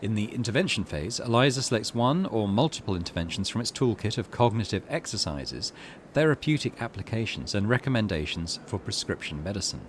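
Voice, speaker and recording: A man's voice: fairly low pitch, very animated, brisk, a little hiss or hum. A man hosting a gaming channel, reading game text aloud and adding musing commentary, with faint game ambience behind him.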